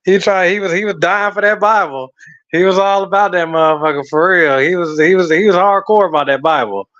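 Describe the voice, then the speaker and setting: A man's voice: high voice, fairly quick, a bit bright, no echo. A man telling a story in a rambling, slangy way, swearing and using lots of fillers. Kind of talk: casual storytelling. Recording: slightly muffled lo-fi audio.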